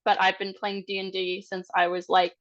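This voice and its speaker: A woman with a medium-pitched voice chats casually as a player in an online game. Her audio is slightly muffled and lo-fi, as on a video call. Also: completely flat delivery, a little fast, fairly bright tone. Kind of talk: casual self-introduction.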